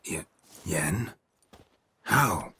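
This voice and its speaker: A man playing a stoic, weary monster-hunting warrior in a video game, gravelly, terse and deadpan. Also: sits low, drawn-out words, full character voice. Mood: surprised, subdued. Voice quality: gravelly, husky. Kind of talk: video game cutscene acting.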